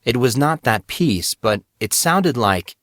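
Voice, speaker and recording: A man narrates a dramatic story at an ordinary male pitch. The recording is close and dry, with very little room sound, and the delivery is that of scripted audiobook fiction. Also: lively intonation, takes it slowly, a bit bright, dry recording.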